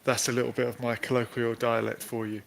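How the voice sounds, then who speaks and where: A man's voice: mid-range voice, flat delivery, fast, creaky. A man hosting a casual live stream over a video call, with jokey, wry asides. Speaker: host.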